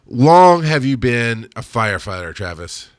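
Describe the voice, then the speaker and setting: A man in his thirties hosting a podcast, chatty and quick to laugh. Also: medium pitch, big pitch swings, no echo, clean studio sound. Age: 30s. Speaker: podcast host. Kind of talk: casual podcast chat.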